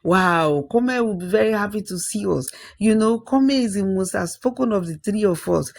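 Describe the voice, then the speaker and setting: Grown village woman in a folktale, acted by the narrator: affectionate, courteous, emphatic, creaky edge. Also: mid-range voice, expressive, normal speed, pitched above the performer's own voice. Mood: excited. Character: warm, grown-up village woman reunited with childhood friends.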